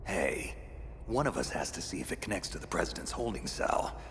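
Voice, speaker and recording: A gruff, battle-hardened soldier for a game drama. The voice is gravelly and breathy, swinging from growl to murmur, with dry, sardonic terseness and some reverb. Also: male voice, low voice, big pitch swings, brisk, fairly bright tone, slight background noise, heavily acted. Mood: gruff, businesslike. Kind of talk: dramatic video game voice acting.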